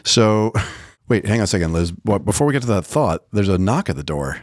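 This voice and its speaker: A male podcast co-host with a deep voice in a dry, close-sounding recording. He speaks quickly and casually, with an animated, teasing manner and words often repeated as he goes. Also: no room sound. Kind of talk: casual banter and discussion.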